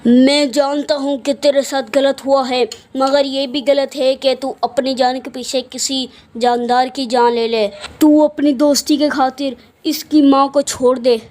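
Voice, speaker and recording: A woman's voice: high voice, little pitch movement, unhurried, few pauses, a little mellow. A woman voicing a bold male exorcist who gives orders and chants incantations. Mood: commanding. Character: male exorcist holy man, bold and commanding.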